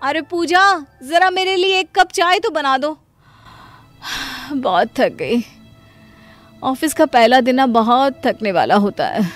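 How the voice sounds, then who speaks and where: A woman's voice: sits high, animated, slow pace, long pauses, soft background music. A woman voicing a clever, boastful young working daughter-in-law in a cartoon family story, bossy in manner. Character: clever, self-important young elder daughter-in-law, newly employed. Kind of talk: animated Hindi family story dialogue.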